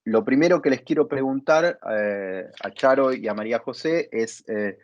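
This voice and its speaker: Man, interviewer, medium pitch, halting phrasing, muffled lo-fi recording. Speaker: interviewer and dramaturge. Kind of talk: hosting an interview, partly reading from notes. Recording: dull lo-fi dry sound.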